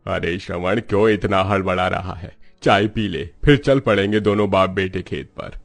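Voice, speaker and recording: Man playing a low-voiced kindly old village father. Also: normal speed, creaky, dry recording, faint music behind. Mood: easygoing, reassuring. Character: kindly elderly village father, calm and hopeful.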